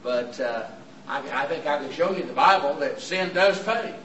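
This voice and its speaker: A visiting preacher, his voice hoarse and raspy from throat trouble, delivering an earnest sermon in a halting way with frequent "uh" fillers. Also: a little high, animated, fairly bright tone. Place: church auditorium. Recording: echoey hall from far off with room noise.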